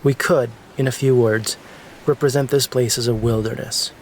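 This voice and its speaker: A man's voice: mid-range voice, steady tone, normal speed, a little hiss or hum. A man reading scripted documentary narration as the film's narrator. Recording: music behind the voice. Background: background music.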